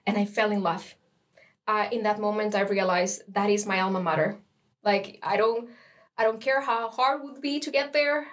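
A woman's voice: medium pitch, steady tone, normal speed. A young woman from Rica, a student guest telling her story in an enthusiastic, chatty way. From Costa Rica. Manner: enthusiastic, laughs often, some fillers. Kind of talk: casual interview chat.

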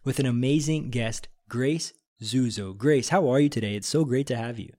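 A man's voice: mid-range voice, moderate pace, warm tone. A man hosting a podcast interview from a studio, an enthusiastic, encouraging interviewer. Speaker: podcast host.

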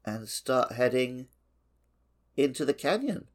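A man's voice: mid-range voice, big pitch swings, takes it slowly. A man co-hosting a podcast, talking casually through a video game. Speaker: podcast co-host.

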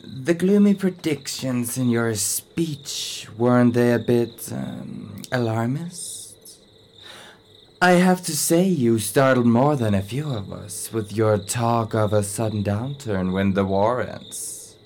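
A slow, worried old tycoon. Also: male voice, medium pitch, darkish tone, slight background noise. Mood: hesitant. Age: 60s. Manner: hesitant, worried, halting. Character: older male ship owner, anxious, hesitant businessman. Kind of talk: radio drama acting.

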